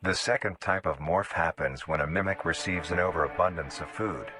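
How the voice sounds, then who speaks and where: Male narrator, very low voice, flat clinical delivery, music underneath. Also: fairly quick. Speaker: narrator of a horror video. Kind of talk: scripted documentary narration. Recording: narration played over music.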